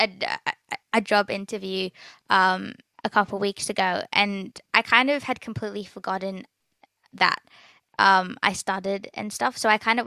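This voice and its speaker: Giggly teen girl with a gravelly edge. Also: medium pitch, sharp tone, dry recording. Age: teens. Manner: stutters, many fillers and giggles. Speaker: podcast host. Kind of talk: casual chat.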